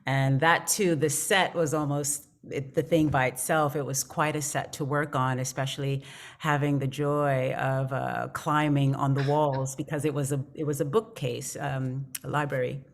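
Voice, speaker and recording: A woman, a stage actor, reminiscing casually as a panel guest in an appreciative, halting way. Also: very deep voice, level tone.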